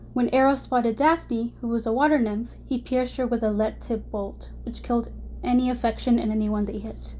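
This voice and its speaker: Young woman, hoarse, fairly high voice, mellow tone, student presentation, reading from notes. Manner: reads prepared notes with small restarts. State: hoarse from a cold. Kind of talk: class presentation.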